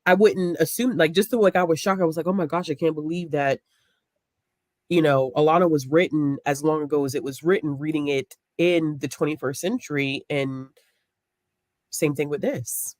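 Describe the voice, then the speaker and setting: A woman's voice: sits low, fairly even intonation, moderate pace, a little mellow, no room sound. A woman co-hosting a casual book discussion, talking in an emphatic, conversational way. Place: online group video call.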